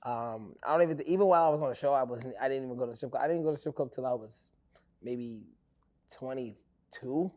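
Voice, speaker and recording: A man, telling stories as an actor guest in a casual interview. He rambles in an animated way with many fillers. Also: medium pitch, quick pace. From New York. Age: 20s.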